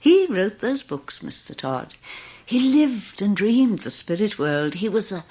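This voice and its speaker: A woman playing an elderly, wealthy, self-serving widow in a radio drama, speaking in a genteel, deliberate, insinuating manner with a husky voice. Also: mid-range voice, sing-song, darkish tone. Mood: eerie, grave. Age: older. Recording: dull lo-fi radio recording.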